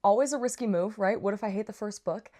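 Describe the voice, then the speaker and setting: A woman's voice: mid-range voice, fast talker, dark tone. A woman giving a casual, chatty book review with slangy asides and playful, dramatic emphasis. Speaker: book reviewer, vlog host.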